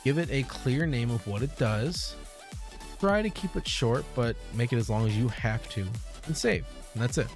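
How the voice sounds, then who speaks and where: A young man explains software in an informal, conversational way. His voice sits at an average male pitch, captured close on a desk microphone indoors. Music plays clearly underneath. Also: expressive, moderate pace. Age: young adult. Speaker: tutorial host. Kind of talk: casual software tutorial to camera. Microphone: close desk microphone on boom arm.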